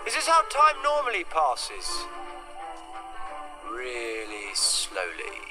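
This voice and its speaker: Man acting a slow-talking, restless time traveller. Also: medium pitch, wildly swooping pitch, over music. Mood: wry impatience. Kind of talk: fan audio drama acting.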